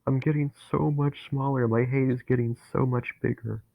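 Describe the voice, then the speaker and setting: A man voicing a dying young man who is terrified and bitter, talking in a strained, pleading way that rises to anguished shouts. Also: lowish voice, steady tone, takes it slowly, creaky, no room sound, heavily acted. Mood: growing dread. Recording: tinny phone-like, dry sound.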